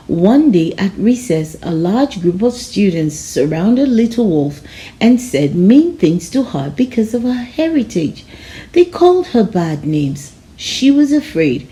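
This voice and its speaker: A woman narrating a children's storybook aloud in a measured, expressive storytelling manner. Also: mid-range voice. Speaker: storybook reader.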